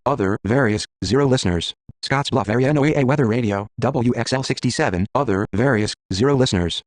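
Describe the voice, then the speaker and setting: A man's voice: medium pitch, darkish tone, no echo. A synthetic male text-to-speech voice working as a screen reader, reading out list entries in a mechanical, clipped, automated way. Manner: mechanical, clipped, reads out letters and numbers evenly. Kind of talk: flat automated list readout.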